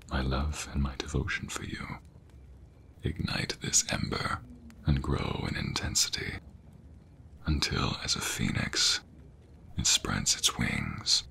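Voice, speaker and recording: A man playing a devoted king in a romantic fantasy ASMR roleplay, speaking tenderly in a breathy, gravelly murmur with formal, courtly phrasing. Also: a bass voice, sharp tone, full character voice. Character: devoted young king secretly in love with a commoner.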